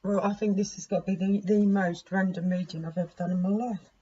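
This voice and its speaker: A hoarse woman in her forties giving a casual tarot reading to camera, chatty and laughing at herself as she repeats and restarts. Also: mid-range voice, fairly even intonation, brisk, warm tone. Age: 40s. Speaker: tarot reader. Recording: dull lo-fi recording with faint noise.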